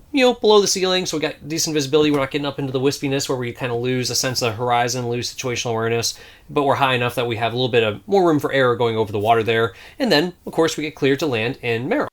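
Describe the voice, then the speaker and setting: A man giving informal, instructive commentary as a flight instructor. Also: mid-range voice. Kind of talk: explanatory video commentary.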